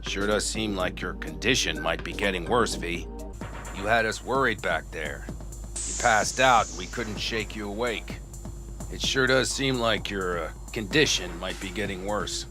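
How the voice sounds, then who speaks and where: A man's voice: low voice, unhurried. A man playing an easygoing, loyal nomad veteran in a video game, with a folksy, plain-spoken manner. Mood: concerned. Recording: music behind the voice.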